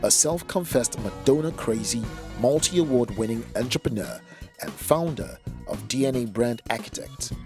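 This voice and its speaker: A man reading a scripted introduction as the host of an interview show. Music plays clearly underneath his measured read, at an average pitch. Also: animated. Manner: upbeat, frequent short affirmations.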